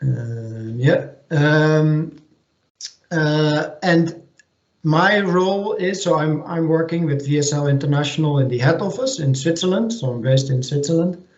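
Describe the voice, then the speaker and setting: A slow-speaking man delivers an online technical presentation, halting often and restarting his sentences. His voice sits a little high, and it comes through echoey, muffled video-call audio. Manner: hesitant, many ums and restarts. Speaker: technical manager presenting at a seminar.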